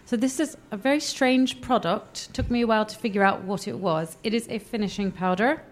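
A woman's voice: mid-range voice, a little fast. A woman from London giving a wry, self-mocking product recommendation, laughing as she goes.

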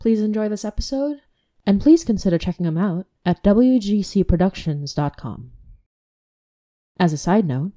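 A woman's voice: on the low side, mellow. A woman podcast host reading a scripted introduction to an episode.

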